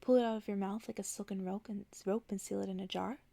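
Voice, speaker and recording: Lady reading as a tired old witch, blunt and chiding, really creaky, soft and close dry mic, bedtime storybook. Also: medium pitch, fairly even intonation, quick pace, dry recording. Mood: weary, wry. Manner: dry, world-weary, chiding. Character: weary, blunt old witch, scornful yet knowing.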